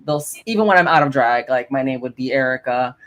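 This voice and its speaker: A woman's voice: a bass voice, expressive, quick pace. A woman who is a drag performer, speaking as a guest in a casual interview, thoughtful and hesitant with many fillers.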